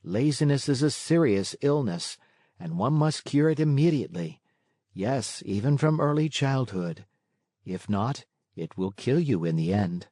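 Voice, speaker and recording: Man as a gentle, motherly fairy, measured delivery. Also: medium pitch, lively intonation, dry recording, studio quality. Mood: gently lecturing. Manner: measured, tender, moralizing. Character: gentle motherly fairy woman, kind but firm.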